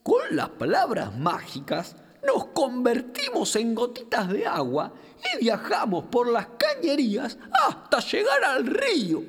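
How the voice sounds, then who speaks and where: A chubby, furry capybara from a children's radio play, a knowing and excitable river guide. The voice is high and swoops wildly, stretching words out slowly in a theatrical Rioplatense Argentine accent. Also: female voice, slightly rough, exaggerated voice. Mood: exclaiming, amused. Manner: theatrical, exclamatory, explains patiently. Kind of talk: children's radio drama acting.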